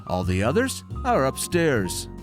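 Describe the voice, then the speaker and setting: A man's voice: medium pitch, wildly swooping pitch, unhurried. A man giving scripted, polished voice-over narration in an upbeat manner. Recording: voice-over with guitar music behind.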